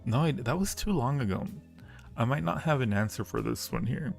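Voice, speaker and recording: Guy streamer doing a casual q&a, teasing and flirty, bit of vocal fry. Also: mid-range voice, animated, fairly quick, a little mellow, soft background music. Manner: playful, teasing and flirty with his chat. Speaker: audio roleplay creator hosting a live Q&A. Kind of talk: casual live-stream chat, reading viewer questions.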